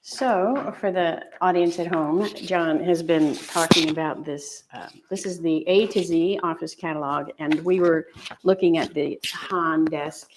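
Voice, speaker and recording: A woman's voice: lowish voice, fairly even intonation, a little slow, noisy background. A woman hosting a live online workshop, talking casually with frequent fillers. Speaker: workshop host.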